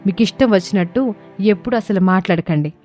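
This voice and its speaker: A dutiful young daughter-in-law, acted by a woman, speaks firmly and earnestly in a storybook family tale. Her voice is medium-pitched, over soft music. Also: brisk, close to an everyday voice. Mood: indignant.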